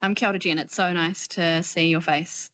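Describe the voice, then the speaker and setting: A woman with a New Zealand accent speaks in a lowish voice, chatty, frank and a bit rambling. She talks over a video call, and a faint hiss sits behind her. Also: steady tone, moderate pace, a bit bright. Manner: chatty, rambling, candid and informal. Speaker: audience member asking a question. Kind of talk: webinar discussion.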